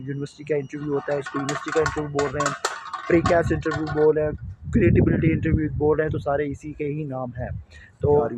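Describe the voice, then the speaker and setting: A young man from Pakistan, speaking with a Pakistani Punjabi accent, gives friendly, repetitive advice straight to his viewers. His voice sits a little high for a man. He is recording out on a residential street, with faint outdoor noise in the background. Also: gravelly, darkish tone. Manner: repetitive, reassuring, brotherly address to viewers. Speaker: vlogger. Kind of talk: casual advice vlog.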